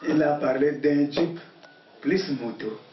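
A man's voice: on the high side, slow pace. A man in his sixties with a Congolese accent, answering interview questions as a local official. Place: small bare wooden-walled office. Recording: distant muffled echoey room, background noise.

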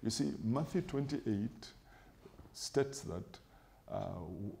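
A middle-aged man with a low voice and a mellow, dark tone. He talks like a pastor on a studio set, measured and emphatic, building points in lists and quoting scripture. The sound is close and clean from a lapel mic. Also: expressive, vocal fry, a little hiss or hum. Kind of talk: preaching-style interview answer.

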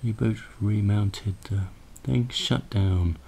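A man's voice: lowish voice, slow, vocal fry, mellow, husky. A man giving a casual, unscripted tech demo, hesitant and thinking aloud as he goes. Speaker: presenter of a hardware prototype demo. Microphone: handheld camera microphone. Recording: noisy handheld camera recording with clicks.

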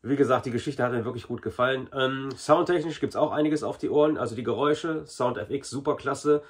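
A man's voice: medium pitch, a little fast, breathy edge. A man in his forties giving a casual, chatty review to camera in a small room. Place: small indoor hobby room.

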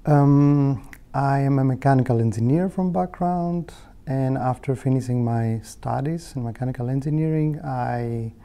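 A man in his thirties with a Greek accent, a native Greek speaker, explaining his job in a hesitant, measured interview style. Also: medium pitch, mellow. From Greece. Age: 30s. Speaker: technical head of a materials laboratory. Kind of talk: informal career interview monologue. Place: indoor meeting room. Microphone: lapel.

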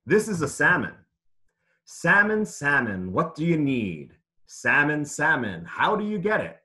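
A man's voice: a little high, huge pitch swings, a little slow, sharp tone. A man reading aloud to children as a teacher would, in simple phrases, putting questions to his listeners. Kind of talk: interactive children's read-aloud lesson.

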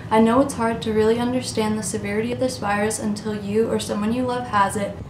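A woman's voice: a little high, flat delivery, fast. A woman speaking earnestly and pleadingly as a student interviewee. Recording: echoey room with ambient noise.

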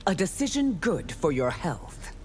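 A woman's voice: on the low side, big pitch swings, unhurried, darkish tone, soft background music. A woman voicing a stern, haughty empress in a fighting game, regal and commanding. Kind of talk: video game fight dialogue.

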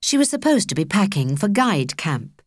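A woman with a British accent narrating a children's audiobook in an expressive, measured storytelling manner. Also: medium pitch, no room sound, clean studio sound. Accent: British English.

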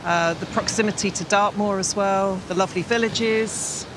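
A woman's voice: medium pitch, steady tone, normal speed, background noise. A middle-aged woman house hunting with her husband, talking eagerly and upbeat in casual chat outdoors. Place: outdoors by a beach. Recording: music bed under speech.

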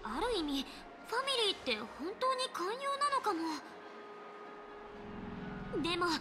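A woman voicing a bubbly, chatty, impatient teenage girl traveler in a Japanese video game, casual and expressive. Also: very high-pitched, measured pace, very noisy, full character voice. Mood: chatty, excited. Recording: game music behind.

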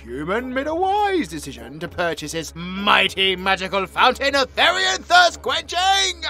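High-pitched, pompous British tiger-boy cat. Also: wildly swooping pitch, a little slow, bright, forceful tone, soft background music, full character voice. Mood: grandly boastful. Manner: grandiose, theatrical, meowing. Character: pompous, melodramatic tsundere tiger-boy cat, self-styled mighty. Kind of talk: comedic audio roleplay acting.

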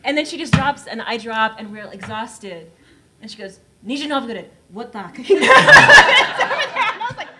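Animated woman telling a story on a panel. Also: on the high side, measured pace, very noisy. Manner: animated, laughing, acts out the scene. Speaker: panel writer. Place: gallery event room with audience. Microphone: table mic.

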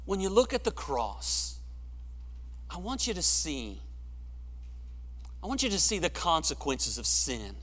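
A middle-aged man preaching a sermon in a church sanctuary, swelling to emphatic peaks and then dropping quiet and low. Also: wildly swooping pitch, a little slow, pauses often. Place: church sanctuary, large room. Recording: long church reverb.

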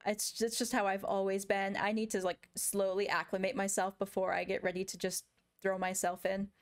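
A woman co-hosting a casual podcast chat, speaking in a calm, steady, soothing way. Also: mid-range voice, normal speed. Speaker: podcast co-host, gaming content creator.

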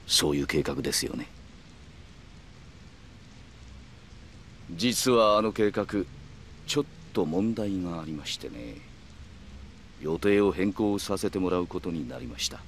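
An actor playing a calm, calculating middle-aged yakuza man who speaks in polite, measured, formal phrases in a video game. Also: deep voice, a little hiss or hum. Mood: cool, firm. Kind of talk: Japanese video game cutscene acting.

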